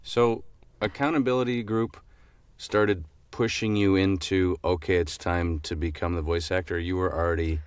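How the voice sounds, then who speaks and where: A man's voice: deep voice, fairly even intonation, moderate pace, smooth, dry recording. A man co-hosting a podcast with casual banter and short interjections. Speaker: podcast co-host.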